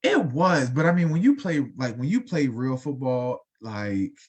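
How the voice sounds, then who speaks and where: A man in his thirties co-hosting a laid-back conversation show. His voice sits at an ordinary middle pitch. He talks in a loose, rambling way, full of fillers and restarts. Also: big pitch swings, a little slow, a little mellow, husky. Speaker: podcast co-host. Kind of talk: casual podcast chat.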